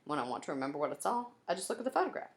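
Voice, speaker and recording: A woman voicing a confident, precise schoolgirl with a sharp memory for a children's storybook. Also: deep voice, big pitch swings, racing.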